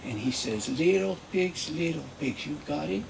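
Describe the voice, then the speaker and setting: A man playing a Mexican-American storyteller who tells a comic fairy tale in broken English, with drawn-out, repetitive folksy phrasing. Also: on the high side, expressive, gravelly, far from the mic, slight background noise, low-quality recording. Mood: questioning. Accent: put-on Mexican-American.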